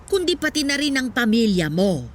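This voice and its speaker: A woman's voice: fairly high pitch, sing-song, normal speed, a little hiss or hum. A woman playing a candid, reflective elderly wife in a Filipino radio drama, giving motherly advice. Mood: earnest advice. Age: older. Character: elderly poor wife reminiscing on love and regrets. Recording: music playing under the voice.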